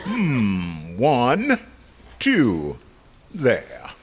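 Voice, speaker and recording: A man's voice: mid-range voice, huge pitch swings, very slow, crowd noise, full character voice. A man playing a fussy, dryly professional eye doctor in 1940s radio comedy style, muttering in repeated little phrases. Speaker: actor in a radio comedy recreation. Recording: clearly noisy recording.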